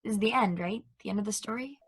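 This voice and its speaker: Vulnerable probe, female actor, soft breathy voice, medium pitch, anxious and affectionate, for a stage play reading. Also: fast. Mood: anxious, tender. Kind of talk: amateur play table read.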